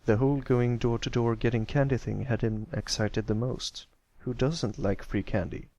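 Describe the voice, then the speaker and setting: Creaky-voiced man narrating a story. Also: mid-range voice, fairly even intonation, moderate pace, dark tone, dull audio. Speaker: amateur audiobook narrator.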